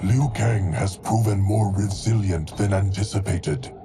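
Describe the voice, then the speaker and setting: An actor playing a stoic ancient male guardian, deep and measured in a game cutscene. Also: noisy background, natural voice. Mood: solemn. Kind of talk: video game cutscene acting. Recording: game audio with music behind.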